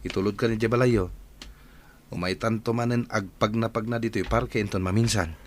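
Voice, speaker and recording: A man's voice: lowish voice, steady tone, fairly quick, slight background noise, natural voice. A man playing a loyal, concerned family friend, friendly and reassuring, in a radio drama.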